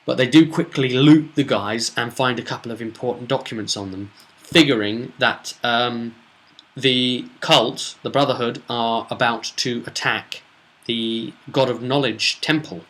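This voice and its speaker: A man's voice: mid-range voice, fairly even intonation, a little slow, fairly bright tone, low-quality recording. A man chattily recapping a tabletop role-playing session as game master, telling it in a rambling, casual way.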